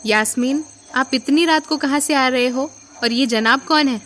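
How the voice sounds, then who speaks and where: A woman playing a guarded, quietly authoritative elder sister in an audio drama, speaking in a measured, stern way. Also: high voice. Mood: stern, suspicious.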